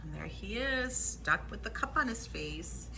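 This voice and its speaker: A woman's voice: mid-range voice, gravelly, background noise. A woman reading a children's picture book aloud at storytime, expressively, with asides on the pictures. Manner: expressive, pauses to point out pictures. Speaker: library storytime reader.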